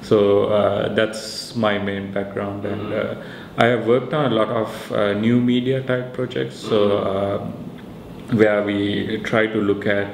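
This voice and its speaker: A man with a Sri Lankan accent explains his work in a hesitant, informal way. His voice is on the low side with very flat intonation, recorded in an echoey room. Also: slow pace, vocal fry. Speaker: researcher and maker presenting a project. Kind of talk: informal explanatory interview talk. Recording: echoey room with faint background noise.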